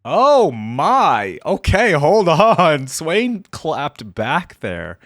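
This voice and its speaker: A man hosting a reaction video with casual, excited commentary. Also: a little high, drawn-out words, no room sound, clean studio sound, soft background music.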